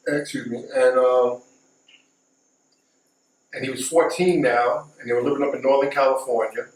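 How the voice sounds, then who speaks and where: A man's voice: medium pitch, fairly even intonation, normal speed. A middle-aged man telling a story casually, repeating and recapping for emphasis. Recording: echoey, distant mic, faint noise.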